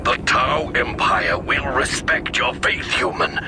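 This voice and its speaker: Slow, imperious alien commander. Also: male voice, medium pitch, expressive. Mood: stern, imperious. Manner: measured, imperious, persuasive. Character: proud, reasoning male alien military commander of an empire. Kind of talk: dramatic video game voice acting. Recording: voice amid clear battlefield noise.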